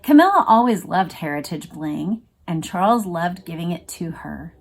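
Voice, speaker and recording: A woman's voice: on the low side, animated, moderate pace. A woman hosting a read-along, reading aloud and commenting in a conversational, emphatic way. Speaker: host of a read-along video series.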